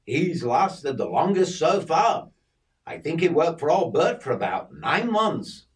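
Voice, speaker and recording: A man's voice: fairly high pitch, very animated, measured pace, far from the mic, pitched above the performer's own voice. A man voicing a friendly, chatty young country lad with an Australian accent. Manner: chatty, easygoing.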